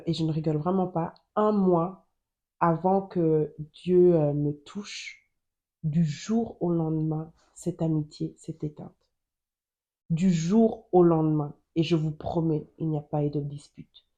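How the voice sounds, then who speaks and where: Earnest woman sharing faith, mellow low voice. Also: steady tone, takes it slowly, lo-fi sound. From Germany. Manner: earnest, repeats phrases for emphasis. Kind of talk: personal testimony and Bible teaching.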